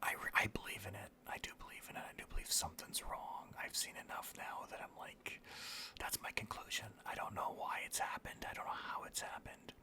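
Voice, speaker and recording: Whispering, rambling video host. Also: brisk. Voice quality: breathy whisper. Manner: rambling, anecdotal, profane. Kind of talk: whispered ASMR-style casual rambling.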